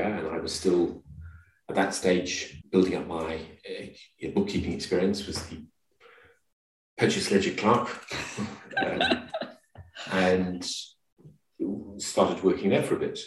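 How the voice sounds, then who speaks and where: Very deep-voiced man in a roomy space. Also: fairly even intonation, unhurried, a little hiss or hum. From Zimbabwe. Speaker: podcast guest. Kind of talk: conversational interview answers. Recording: roomy, distant microphone.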